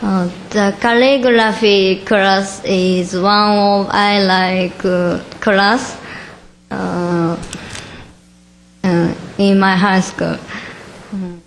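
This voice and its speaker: Very slow, halting Japanese teenage girl. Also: mid-range voice, little pitch movement, poor audio. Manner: very hesitant, broken English with long pauses. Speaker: exchange student. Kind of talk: halting self-introduction. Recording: faint background noise, room ambience.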